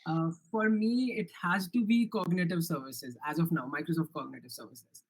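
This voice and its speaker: A young man with an Indian accent presents his software project in a live online demo, answering questions earnestly with frequent restarts and fillers. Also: fairly high pitch, moderate pace, low-quality recording. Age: young adult.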